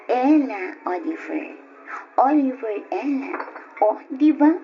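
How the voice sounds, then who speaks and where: High, teasing Ilocano mistress. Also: female voice, very animated, slow, exaggerated voice. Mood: flirtatious, coaxing. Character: flirtatious, pushy mistress of a married seaman. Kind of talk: old Ilocano radio drama acting. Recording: dull lo-fi radio drama with music.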